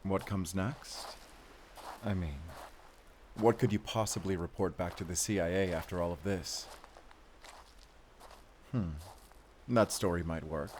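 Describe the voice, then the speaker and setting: Audio roleplay lead: male actor as seasoned, tired wolf hunter, low register, hushed, reflective, compassionate. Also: unhurried, long pauses, slight background noise. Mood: weary, bittersweet. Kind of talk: cinematic audio roleplay acting.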